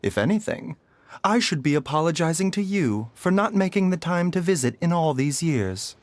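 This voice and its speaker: An actor voicing a calm, courteous doctor who speaks in a polite, measured way and guards his secrets. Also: on the high side, slight background noise, natural voice.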